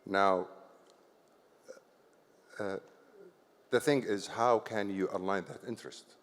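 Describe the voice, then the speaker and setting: Panel speaker, man, lowish voice, halting delivery, long hall reverb. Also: steady tone. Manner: hesitant, many fillers and restarts, says "okay" often. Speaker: investment company chief executive. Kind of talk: panel discussion answer. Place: conference hall on stage.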